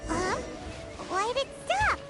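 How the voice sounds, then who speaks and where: A woman voicing a tiny, cheeky, childlike fairy companion in an anime video game, exclaiming in a squeaky voice. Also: very animated, slow, full character voice. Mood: curious, surprised. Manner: exclamatory, speaks of self in third person. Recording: loud game music behind the voice.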